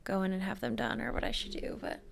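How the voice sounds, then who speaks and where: A woman's voice: mid-range voice, steady tone, quick pace, gravelly, crowd noise. A young woman co-hosting a podcast, chatting casually with lots of fillers as she tells everyday stories. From American Midwest. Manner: chatty, filler-heavy, storytelling. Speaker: podcast co-host. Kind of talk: casual chat.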